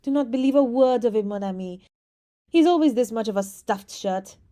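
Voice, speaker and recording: A woman's voice: fairly high pitch, lively intonation, moderate pace, mellow, lightly acted. A woman voicing a playful young princess who teases and scatters French words into her speech, in an ASMR roleplay. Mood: teasing. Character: teasing young princess, playful, anxious beneath.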